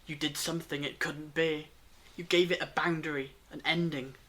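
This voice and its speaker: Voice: medium pitch, steady tone, bright, forceful tone. An actor as a solemn, knowing time traveller. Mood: grave. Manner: measured, solemn, explanatory. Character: knowing time traveller explaining a grief entity, grave. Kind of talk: audio drama dialogue.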